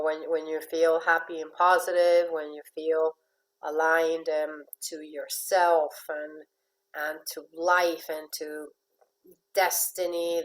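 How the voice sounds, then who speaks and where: A woman's voice: low voice, low-quality recording. A woman coach from Venezuela giving a motivational talk to a group, chatty, often repeating words and laughing lightly between phrases. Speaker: life and relationship coach.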